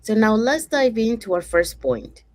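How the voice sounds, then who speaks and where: Upbeat host from Panama, dry sound. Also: female voice, fairly high pitch, very animated, unhurried, no echo. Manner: upbeat, guiding the segments. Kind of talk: hosting an online talk show.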